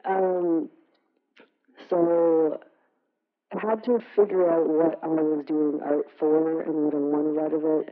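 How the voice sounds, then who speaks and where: A woman artist from Canada speaking casually on a panel, relaxed, with many fillers. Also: low voice. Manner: relaxed, filler-heavy, laughs between points. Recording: tinny phone-like sound.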